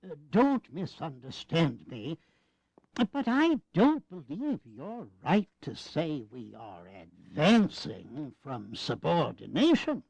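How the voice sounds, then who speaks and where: An actor playing an elderly, genial and fussy statesman in a historical audio drama, hesitant and gently pedantic. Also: a little high, very animated, slow, vocal fry, breathy edge, no room sound, full character voice, poor audio. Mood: mildly fussy. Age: older.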